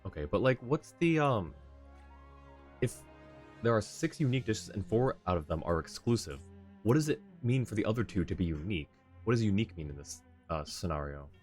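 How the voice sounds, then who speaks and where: Male streamer, low-pitched, casual and thinking aloud during live gameplay, faint game music behind. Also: big pitch swings, a little fast, darkish tone. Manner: thinks aloud, reading on-screen text and chat. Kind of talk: casual live gaming commentary. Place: indoor live stream setup.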